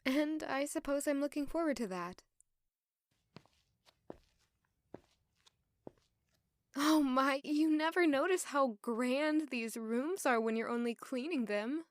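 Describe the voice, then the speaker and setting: An actress playing a caring, sociable young maid in an audio drama, speaking softly and earnestly in dialogue and reflective narration. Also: female voice, high-pitched, moderate pace, hardly any pauses, no echo, clean studio sound, close to an everyday voice. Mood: polite, light. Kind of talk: audio drama acting and first-person narration.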